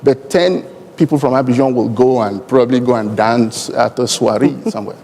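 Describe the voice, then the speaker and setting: Nigerian man, deliberate, in a reverberant hall. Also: mid-range voice, gravelly, a little mellow, slight background noise. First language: Igbo. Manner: deliberate, emphatic, uses elaborate formal phrasing. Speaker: airline executive speaking on a conference panel. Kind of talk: conference panel speech. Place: large conference hall. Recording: long hall reverb from conference PA.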